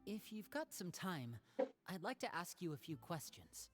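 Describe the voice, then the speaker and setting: Cold, low-voiced house matriarch for a game, measured and expressive. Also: female voice, over music. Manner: calm, low, measured, authoritative. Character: cool, commanding adult woman, head of an orphan house. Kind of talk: game voice acting.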